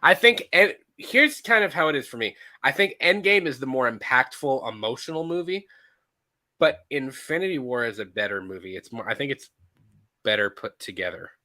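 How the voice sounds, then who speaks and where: A man co-hosting a casual movie podcast, a little stuffy from allergies, animated and quick to laugh, often restarting his sentences. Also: medium pitch. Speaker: podcast co-host. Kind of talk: casual chat.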